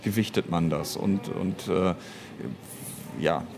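Male interview guest, lowish pitch, thoughtful explainer with hesitant phrasing, slight background noise. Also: slow pace, vocal fry. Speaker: interview guest, audio drama writer and producer. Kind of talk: casual conversational interview.

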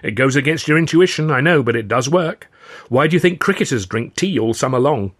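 A man's voice: mid-range voice, animated, brisk, husky, no echo, clean studio sound, voice pushed up. A man voicing a vain, self-satisfied film comedian in an audiobook, boastful, theatrical and emphatic. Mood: pleased, expansive.

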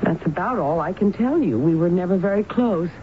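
A woman's voice: fairly low pitch, expressive, brisk, natural voice. An actress playing a bewildered, guarded married woman patient in 1970s radio drama style, hesitant, puzzled and questioning. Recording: dull noisy old radio broadcast recording.

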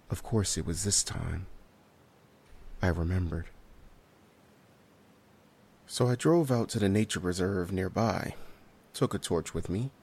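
A man's voice: sits low, darkish tone, husky, heavily acted. An actor playing a haunted survivor in a horror audio drama, recounting his ordeal in a hesitant, solemn and descriptive way. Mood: wistful, troubled. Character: haunted male survivor recounting a harrowing night.

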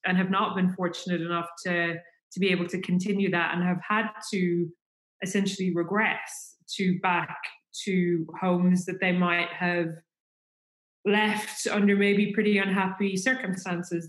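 Chatty Irish woman, lowish voice, echoey room. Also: fairly bright tone. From Ireland. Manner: chatty, rambling with many fillers. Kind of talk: casual chat.

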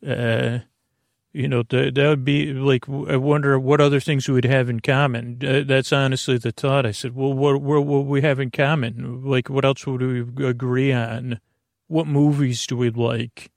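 A man hosting a sleep podcast in an improvised, meandering monologue, halting, repeating words and trailing off into goofy tangents. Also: mid-range voice, level tone, gravelly, no room sound, clean studio sound. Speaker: podcast host.

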